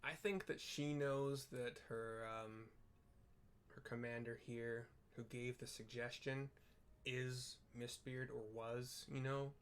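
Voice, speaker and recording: A man's voice: mid-range voice, fairly even intonation, slow pace, clean tone. A man narrating his gameplay in casual commentary, chatty and descriptive with playful asides. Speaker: gaming video narrator.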